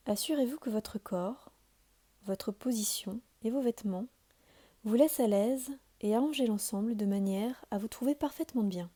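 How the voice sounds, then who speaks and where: A woman giving calm, soothing guided meditation instructions as a yoga nidra teacher, in a deliberate, measured delivery. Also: fairly high pitch.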